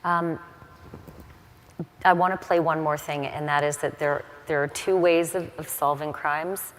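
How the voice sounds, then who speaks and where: Female interviewer, deep low voice, earnest, long reverb tail on the sound. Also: steady tone, unhurried, a little hiss or hum. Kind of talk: interview questions.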